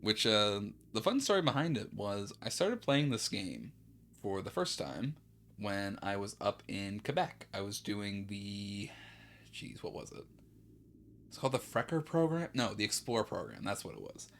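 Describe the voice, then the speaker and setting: A man's voice: fairly low pitch, very animated, a little slow, fairly bright tone. A young man chatting casually while streaming a game, rambling and correcting himself as he goes. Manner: rambling and self-correcting, with light laughs. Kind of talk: casual let's-play commentary.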